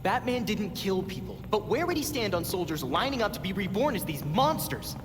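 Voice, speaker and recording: Defiant, animated teenage boy vigilante. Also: high-pitched, fairly quick, background noise. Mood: doubtful, bitter. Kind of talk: dramatic video game acting. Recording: long reverb, music behind.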